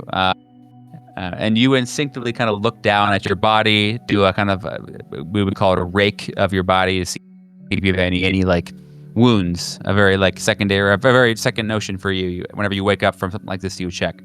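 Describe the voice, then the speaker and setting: Low-voiced male game master over music. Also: fairly bright tone. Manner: hesitant, descriptive, conversational. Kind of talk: improvised horror game narration. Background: background music.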